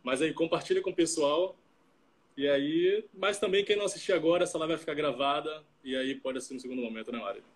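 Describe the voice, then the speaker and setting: Late-20s man from Salvador, fairly high voice, easygoing live-stream co-host on a call mic. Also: fast talker. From Salvador, Brazil. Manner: relaxed, chatty, many fillers and tag questions. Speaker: startup CTO co-hosting a live stream. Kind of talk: casual live-stream chat.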